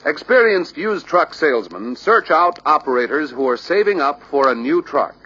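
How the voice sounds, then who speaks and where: Guy narrating an old sales training film, kinda high husky voice, dull lo-fi soundtrack. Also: very animated, normal speed, pushed and bright. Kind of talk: scripted instructional narration.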